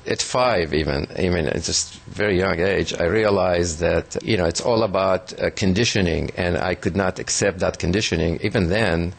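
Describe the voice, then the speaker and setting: A man from Israel being interviewed, talking slowly with many pauses and fillers. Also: deep voice, vocal fry. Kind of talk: reflective recounting.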